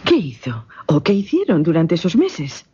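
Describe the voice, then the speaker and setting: An actress playing a curious, intent woman interviewer in a horror radio play, prompting in a hushed, husky voice. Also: fairly low pitch, wildly swooping pitch, normal speed, lo-fi sound, exaggerated voice. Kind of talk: Spanish-language horror radio play acting.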